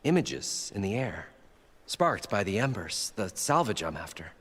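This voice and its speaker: A man acting as an eccentric, proud inventor and salvager in a video game, with a husky voice and showy, eager delivery. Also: fairly low pitch, big pitch swings, unhurried, in a large hall, full character voice. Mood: wondering.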